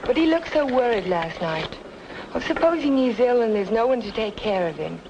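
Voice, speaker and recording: An earnest, high-voiced noir heroine. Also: female voice, expressive, normal speed, lo-fi sound, noisy background. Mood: worried. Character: earnest, caring young woman in noir drama. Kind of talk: old-time radio noir acting. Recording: old radio drama with music behind.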